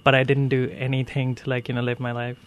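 A man's voice: medium pitch, monotone, quick pace, slight background noise. A 24-year-old from India with a male-sounding voice, talking casually about personal experience in a hesitant way, peppered with filler phrases. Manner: hesitant, heavy use of "like" and "you know". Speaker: interview guest sharing life story.

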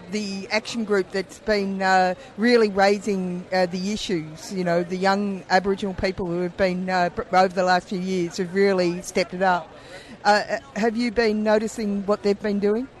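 A man reporting for community radio, briskly asking people questions at an outdoor rally. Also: sits high, steady tone. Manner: brisk, friendly, prompting questions. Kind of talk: street vox pop interviewing.

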